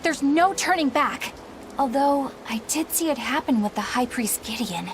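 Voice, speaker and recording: Uma atriz interpretando uma jovem exorcista sincera, educada e formal. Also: female voice, sits high, animated, moderate pace, noisy background. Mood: earnest. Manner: polite, formal, earnest. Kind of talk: game dub acting.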